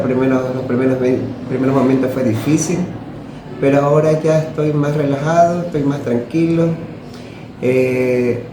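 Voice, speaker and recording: A man in his forties from Ecuador, giving a plain, halting personal testimony. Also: fairly high pitch. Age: 40s. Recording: echoey room with noise.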